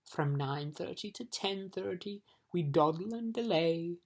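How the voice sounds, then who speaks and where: A woman's voice: lowish voice, animated, measured pace, darkish tone. A woman voicing drowsy, idle little creatures. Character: lazy, sleepy small creatures who do nothing.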